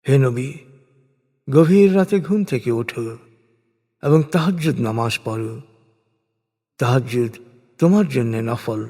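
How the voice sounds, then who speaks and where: A man giving a solemn, measured scripted reading of translated scripture, phrase by phrase with pauses. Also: mid-range voice, warm tone. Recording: long hall-like reverb.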